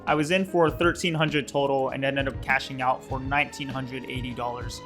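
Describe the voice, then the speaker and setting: A man's voice: mid-range voice, flat delivery, moderate pace, over music. A man in his twenties giving a casual, scripted poker vlog voice-over in a matter-of-fact, explanatory way. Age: 20s. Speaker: poker vlogger and host.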